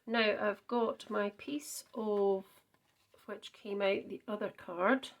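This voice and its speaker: A woman's voice: mid-range voice, fairly even intonation, slow pace. A Scottish woman chatting through a card-making demonstration, thinking aloud with frequent ums and small self-corrections. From Scotland. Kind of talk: casual crafting demonstration.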